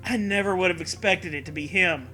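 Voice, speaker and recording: A high-voiced man with a very sharp, forceful tone reads aloud for comic mockery. His delivery is animated and full of swings, with a sarcastic edge. Music plays faintly behind him. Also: brisk, low-quality recording. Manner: animated, sarcastic, laughs often. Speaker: podcast co-host. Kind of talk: comic mocking read-aloud of fan fiction.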